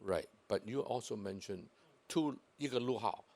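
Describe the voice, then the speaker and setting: A very deep-voiced man hosting a live talk. He jokes at his own expense and speaks with lots of hesitant ums and uhs. His tone is relaxed and chatty. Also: normal speed, creaky. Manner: jovial, self-deprecating, hesitant with many uhs. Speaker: host of the event. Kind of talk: casual event chat.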